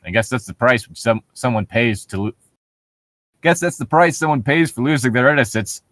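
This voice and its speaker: Jokey man with a lively, swooping delivery. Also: medium pitch, normal speed, dry recording, clean studio sound. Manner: jokey, sarcastic, swears casually. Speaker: co-host of a comedy reading show. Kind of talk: casual mocking read-aloud with banter.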